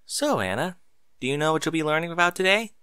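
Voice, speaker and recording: A man voicing a caring, harried mother in a storybook narration, gently coaxing and fretful. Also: a little high, lively intonation, fast talker, clear, resonant tone, no room sound, studio quality, voice pushed up. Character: caring, harried mother, cheerful but worried. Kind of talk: audiobook character dialogue.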